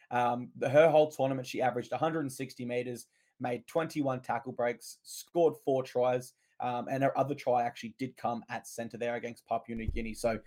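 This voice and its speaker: A man co-hosting a sports podcast, his voice hoarse and still recovering, reeling off stats with enthusiasm. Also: medium pitch. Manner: enthusiastic, stat-heavy delivery. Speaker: podcast co-host. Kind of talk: casual sports podcast chat.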